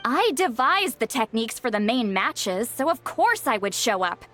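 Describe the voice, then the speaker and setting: Confident young sage in a game, high lively voice, no-nonsense. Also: female voice, moderate pace, faint music behind. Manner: matter-of-fact and confident. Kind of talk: video game voice acting.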